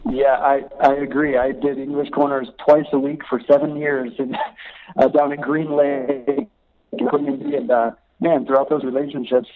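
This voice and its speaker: A middle-aged man calling in as a missionary guest, telling stories in a lively, animated way and laughing often. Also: medium pitch, unhurried. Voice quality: laughs often while talking. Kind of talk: phone interview, storytelling. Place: calling in from abroad. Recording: choppy phone line.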